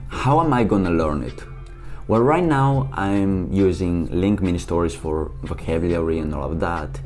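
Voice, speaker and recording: Young man, European Portuguese accent, very low voice, chatty solo vlogger, faint music bed. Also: a little mellow. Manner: chatty and upbeat, quick asides. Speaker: language-learning YouTuber. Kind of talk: casual solo vlog.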